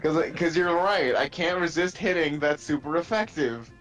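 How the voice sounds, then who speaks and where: A man's voice: fairly high pitch, normal speed. A young man chatting casually and bantering with friends while playing a game. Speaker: player in a group gaming video. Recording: game music behind the voice.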